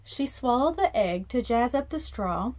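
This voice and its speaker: A woman in her thirties reading a rhyming storybook aloud to children in an expressive, sing-song way, recorded in a room at about arm's length from the device. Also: on the high side, a little slow. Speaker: children's read-aloud host. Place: small indoor room.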